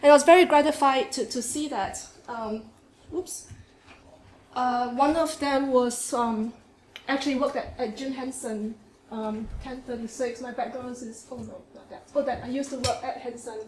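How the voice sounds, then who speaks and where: A woman's voice: sits high, normal speed. Woman with a Singaporean accent presenting. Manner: lively, with laughs and fillers. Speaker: conference speaker. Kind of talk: academic presentation. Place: seminar room. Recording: echoey room, faint noise.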